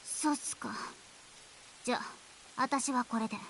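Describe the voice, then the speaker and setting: Blunt, quick-talking young anime girl. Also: fairly high pitch, a bit bright, a little hiss or hum, rough recording. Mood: cool, blunt. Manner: curt, casually polite speech. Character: blunt, aloof young girl, helpful to strangers. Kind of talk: Japanese visual novel voice acting.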